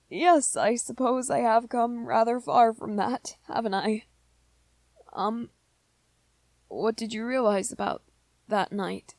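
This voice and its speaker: Woman playing young male vampire boyfriend, ASMR roleplay, soft-spoken, anxious, hesitant, unhurried pace, dry intimate sound. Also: on the high side, no room sound. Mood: bashful, fond. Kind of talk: ASMR roleplay, scripted one-sided dialogue to listener.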